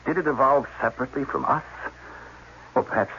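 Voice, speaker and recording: An actor playing an indignant, questioning space colonel stranded far from home, in a 1980s radio drama style. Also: male voice, medium pitch, fairly quick, bright, forceful tone, breathy edge. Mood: reasoning, insistent. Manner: demanding, emphatic questioning. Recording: dull, noisy old radio broadcast.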